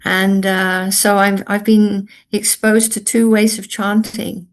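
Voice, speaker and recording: A woman's voice: medium pitch, little pitch movement, takes it slowly. A woman, a Buddhist nun, speaking thoughtfully over a video call and often restarting her sentences.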